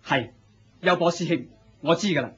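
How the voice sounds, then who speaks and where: An actor playing an earnest, naive young disciple in a Hong Kong Cantonese radio drama, humble and deferential. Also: male voice, on the high side, normal speed, fairly bright tone, husky, muffled recording. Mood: humble, obedient. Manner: deferential, eager.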